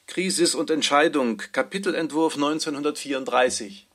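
A man's voice: a little high, normal speed, fairly bright tone, faint music behind. A man announcing chapter titles in a scripted radio style.

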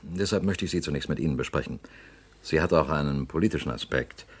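A man playing a scheming politician in a radio drama, smooth and insinuating. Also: extremely low pitch, quick pace. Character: slick scheming male politician, smooth and sly.

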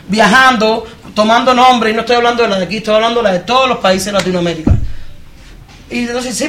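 Forceful Cuban woman speaking at a panel. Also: on the high side, a little hiss or hum. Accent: Cuban Spanish. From Cuba. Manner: forceful, direct, addresses the audience, rhetorical questions. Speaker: panelist at a public discussion. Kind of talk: impassioned live panel talk. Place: live event with an audience.

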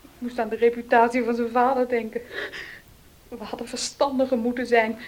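A woman acting as a troubled young daughter in a radio drama, her voice set high. She is meek with a streak of defiance, speaking as a girl at home with her parents. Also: flat delivery, fairly quick, darkish tone, natural voice. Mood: tearful despair. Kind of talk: Dutch radio play acting.

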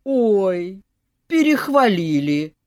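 A woman voicing a scheming, fake-sweet old grandmother who is part snake, cooing and then shrill. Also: big pitch swings, very slow, a little mellow, no echo, studio quality. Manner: cooing, syrupy, turns to shrill wailing.

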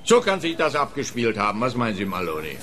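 An actor playing a stolid, self-important policeman in a radio crime play, speaking with emphatic, official, halting phrasing. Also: medium pitch, huge pitch swings, music in the background.

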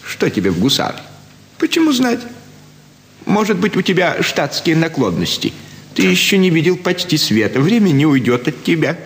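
A man's voice: fairly high pitch, normal speed, a little hiss or hum. An actor playing a fawning elderly provincial landowner and doting father, effusive and sentimental in a classic stage radio play. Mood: pleading. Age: older. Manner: effusive, sentimental, flattering with many sighs. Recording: roomy stage hall with faint ambience.

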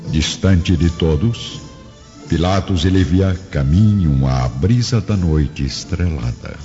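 A man's voice: deep voice, takes it slowly. A man narrating a scripted radio drama in a theatrical, solemn storytelling manner. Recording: dull lo-fi audio, long reverb, music.

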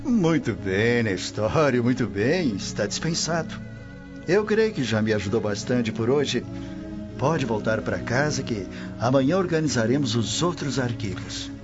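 Old Roman official, authoritative, formal, muffled radio drama sound, clear music underneath. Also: male voice, medium pitch, big pitch swings, unhurried. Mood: benign authority. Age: older. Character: proud old Roman official, stern patriarch. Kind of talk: Brazilian radio drama acting.

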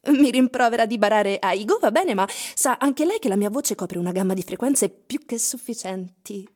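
A woman's voice: on the high side, animated, normal speed, a little mellow. An actress playing a self-assured, mysterious female singer in a theatrical radio drama, teasing and coy. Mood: teasing, confident.

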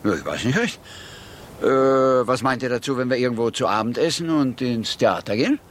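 An actor playing a breezy, eccentric, self-assured gentleman sleuth in a radio drama, with casual stammered repeats. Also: medium pitch, animated, moderate pace, lightly acted. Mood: breezy, nonchalant.

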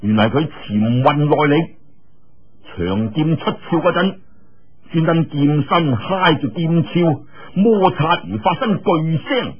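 A man's voice: fairly high pitch, expressive, takes it slowly, husky, dull audio. A man telling a martial-arts tale in Cantonese in a theatrical, colloquial storytelling manner, full of exclamations and asides.

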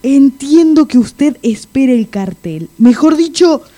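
High, mellow, formal police sergeant. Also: female voice, expressive, slow. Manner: formal, procedural. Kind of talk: comic radio drama acting.

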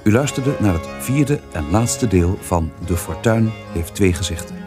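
A man's voice: low-pitched, lively intonation, a little slow. A man reading scripted credits as a radio announcer. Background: prominent music with strings.

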